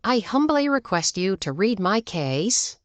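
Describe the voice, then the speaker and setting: A woman's voice: mid-range voice, sing-song, a little slow, no room sound. A woman voicing a self-important, demanding woman litigant in a narrated story, insistent and impatient. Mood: pleading. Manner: insistent, entitled.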